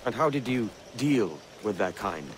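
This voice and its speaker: An actor playing a roguish man bluffing as a courteous gentleman in a video game, guarded and dryly polite. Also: fairly low pitch, expressive, measured pace, background noise.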